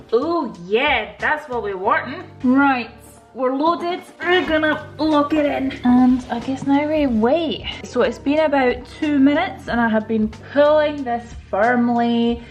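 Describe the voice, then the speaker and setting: A woman with a fairly high voice speaks in a casual, chatty vlog style. She is expressive and playful, often talking to herself as she goes. Music plays faintly underneath. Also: dull audio. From Estonia. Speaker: vlogger, nail technician. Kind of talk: casual chatty vlog voice-over while tidying.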